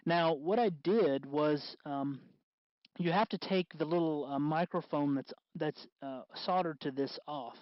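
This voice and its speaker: A man hosting a casual hands-on DIY tutorial, explaining in a halting, unscripted way, with slightly muffled sound. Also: on the high side, no echo. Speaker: host of DIY electronics tutorial.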